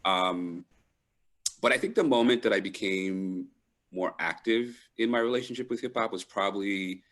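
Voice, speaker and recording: A middle-aged man with a very low voice talks in a reflective, conversational way. He is thoughtful and halting, often stopping to search for the right word, as a guest in an interview. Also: a bit bright. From Trinidad and Toronto, Canada. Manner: thoughtful, halting, pausing to find words. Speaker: panel guest. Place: live online video call.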